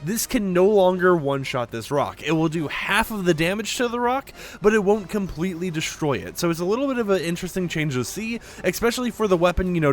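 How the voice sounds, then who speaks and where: Young man with a sore throat, chatting. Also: fairly high pitch. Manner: talkative, rambling with many fillers. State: sore throat, speaking quieter. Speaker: gaming news YouTuber. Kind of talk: casual game update commentary.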